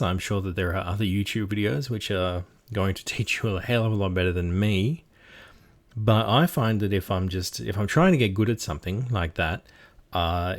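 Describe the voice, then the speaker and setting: A man's voice: low voice, darkish tone. An Australian man, a game master, giving casual tips in a chatty, self-deprecating, encouraging way. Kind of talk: casual instructional talk.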